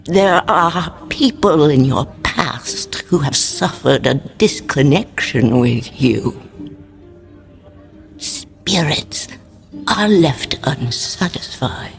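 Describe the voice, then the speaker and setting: An actress playing a solemn, cryptic fortune teller in a radio drama, speaking in formal mystical pronouncements. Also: female voice, low voice, lively intonation, extremely slow, creaky, faint music behind, exaggerated voice. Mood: mysterious.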